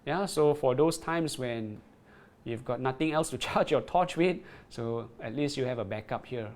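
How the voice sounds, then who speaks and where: A man's voice: mid-range voice, moderate pace, darkish tone. A man presenting a product on a livestream, demonstrating it and reading viewer comments, often repeating words and checking in with "right?".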